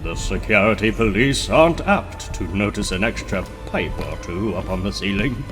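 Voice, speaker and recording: A man's voice: on the low side, lively intonation, moderate pace, over music, natural voice. A man playing a boastful, menacing mad-scientist supervillain in a dramatic comic book reading. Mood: scheming. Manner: grandiose, menacing, theatrical. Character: boastful megalomaniac supervillain scientist with mechanical arms.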